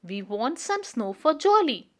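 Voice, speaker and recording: A lively toy rabbit from a children's story , voiced by a woman. It speaks in a high, expressive voice, keen to play yet practical and matter-of-fact. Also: measured pace, full character voice.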